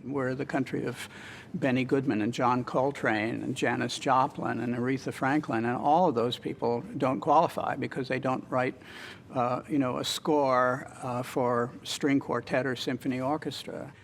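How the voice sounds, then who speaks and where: A man's voice: mid-range voice, steady tone, normal speed, gravelly, slight background noise. An American man talking in a documentary interview.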